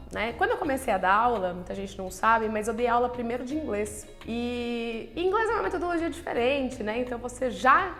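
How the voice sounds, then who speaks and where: A nutritionist, talking frankly to camera in a chatty way, full of filler words and rhetorical questions. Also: female voice, on the high side, a bit bright. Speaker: nutritionist and channel host. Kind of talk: casual talk to camera.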